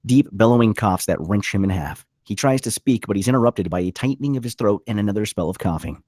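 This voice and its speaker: A man's voice: lowish voice, animated, fast, a little mellow, no room sound, clean studio sound. A man reading the stage directions as scripted narration at a screenplay table read.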